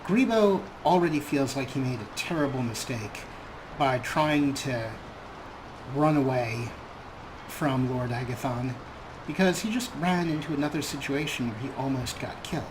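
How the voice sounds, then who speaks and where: A man's voice: mid-range voice, moderate pace, noisy background. Wry male let's play host. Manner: relaxed, wry, talks to his viewers. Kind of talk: gameplay commentary.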